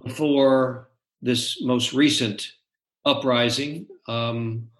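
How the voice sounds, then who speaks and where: A man hosting an interview, speaking haltingly with many pauses. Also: mid-range voice, steady tone, echoey room, rough recording. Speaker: interview host.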